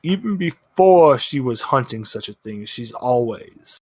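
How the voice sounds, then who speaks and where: A man performs an ancient otherworldly mentor for a tabletop game. The delivery is slow and halting, full of solemn weight and wistful kindness. The sound is dry, at a middling male pitch. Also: lively intonation, husky, dry recording. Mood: solemn, ominous. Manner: slow, halting, grave and dramatic.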